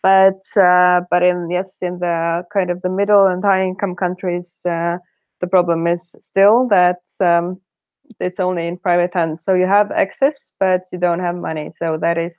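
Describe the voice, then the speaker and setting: A woman's voice: fairly low pitch, dry recording. A woman speaking hesitantly, with many fillers and restarts, as a panelist in a casual online discussion. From Estonia. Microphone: video call. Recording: dry, phone-like video-call audio.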